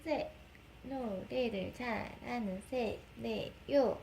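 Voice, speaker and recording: A woman with a Korean accent, a native Korean speaker, reading a storybook aloud to her baby in affectionate, cooing baby talk with drawn-out repeated words. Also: a little high, creaky, background noise. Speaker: mother reading a picture book to her baby.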